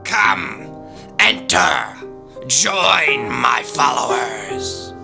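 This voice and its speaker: A man playing a menacing, commanding demon of judgment with a gravelly voice and slow, ominous delivery in a dark fantasy audio drama. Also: mid-range voice, sing-song, exaggerated voice. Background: music.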